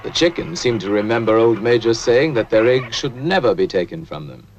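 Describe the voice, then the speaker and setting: A man's voice: mid-range voice, animated, fairly quick, darkish tone, close to an everyday voice. A man narrating an animal fable as a solemn storyteller, in scripted film style. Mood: solemn, measured. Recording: dull old film soundtrack, music behind.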